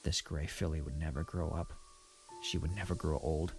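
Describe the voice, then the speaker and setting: A man's voice: a bass voice, fairly even intonation, moderate pace, over music. A man playing a guilt-ridden, resigned pony, narrating an audio drama in the first person with grave sorrow. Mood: grave, despairing. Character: guilt-ridden adult pony factory worker, ashamed, resigned.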